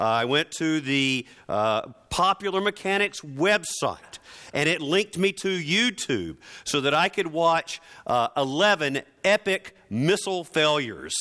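Bright, higher-pitched man preaching a sermon. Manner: conversational, wry, rhetorical questions.

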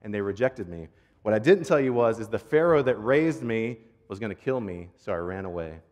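A man's voice: fairly low pitch, lively intonation, a little fast, a little mellow. A man preaching as a pastor in an informal, earnest, conversational way, repeating phrases for rhythm as he tells stories. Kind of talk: conversational sermon with prayer and storytelling. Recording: long hall reverb.

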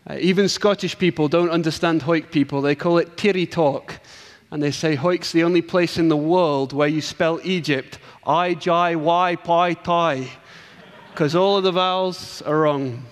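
English guy preaching, kinda high voice, echo from a big church hall. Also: normal speed. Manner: earnest, rhythmic preaching with emphatic build-ups. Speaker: guest preacher. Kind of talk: sermon. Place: church sanctuary.

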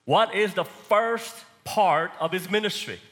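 A man from Korea with a Korean accent, preaching a sermon and swinging between loud and soft emphasis. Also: high-pitched, measured pace, big-room sound.

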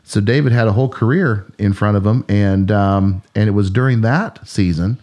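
A man's voice: fairly low pitch, normal speed, darkish tone. A man who is a pastor, hosting a casual podcast in a playful, rambling way and laughing often.

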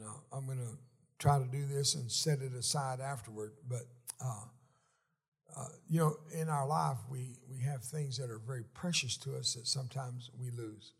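A man in his seventies giving a folksy, joking testimony sermon while seated in a church, often halting and restarting. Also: mid-range voice, gravelly, breathy edge. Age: 70s. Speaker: guest speaker at a church.

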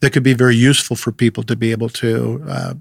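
Middle-aged American man with creaky voice. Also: mid-range voice, flat delivery, fast, dry recording. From Illinois, United States. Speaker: missions and outreach pastor, interview guest. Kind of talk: conversational personal storytelling.

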